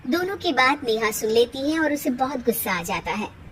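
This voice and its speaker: A woman's voice: sits high, big pitch swings, normal speed, a bit bright, a little hiss or hum. A woman narrating a scripted Hindi cartoon story.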